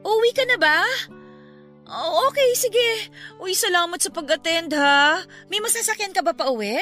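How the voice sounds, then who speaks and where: A woman's voice: very high-pitched, expressive, normal speed, pushed and bright, faint music behind, exaggerated voice. A Filipino woman playing a caring young best friend in a radio romance drama, chatty and concerned in manner. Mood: grateful, friendly.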